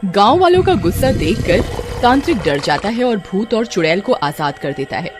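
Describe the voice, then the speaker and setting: Animated woman narrating a story over music. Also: mid-range voice, moderate pace. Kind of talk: scripted dramatic storytelling narration. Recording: music under the voice. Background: background music.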